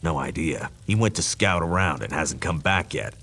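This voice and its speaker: A gruff, loyal soldier in a fantasy game. His voice is extremely low and full of gravel, and he delivers his lines with dry humor. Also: lively intonation, normal speed, a bit bright, full character voice. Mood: matter-of-fact briefing. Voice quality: very deep, gravelly, husky. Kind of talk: video game character dialogue.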